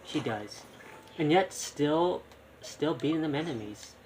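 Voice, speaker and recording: A man's voice: a little high, expressive, slow, slight background noise, rough recording. A man giving casual live gameplay commentary, muttering to himself and throwing in mild exclamations. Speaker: game streamer. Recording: loud game music behind voice. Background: loud video game music.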